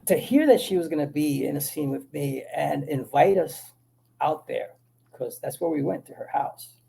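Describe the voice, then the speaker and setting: Dominican-born actor guest, gravelly and slightly high voice, lively restart-filled anecdotes. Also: male voice, moderate pace, slight background noise. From Dominican Republic. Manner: animated storytelling, many restarts. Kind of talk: casual interview talk.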